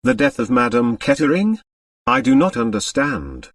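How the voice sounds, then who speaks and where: Haughty, suave aristocratic count played by a male reader, French, formal, very expressive, dry sound. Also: mid-range voice, normal speed, vocal fry, dry recording, recorded from a distance, full character voice. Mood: cold, haughty. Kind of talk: audiobook character dialogue.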